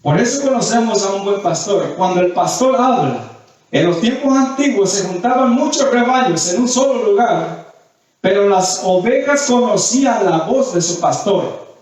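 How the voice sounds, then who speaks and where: High-voiced male preacher in echoey hall. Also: animated, normal speed, darkish tone. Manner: didactic, repeats phrases for emphasis. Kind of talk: sermon with scripture readings and questions to the congregation. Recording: very echoey hall, distant mic.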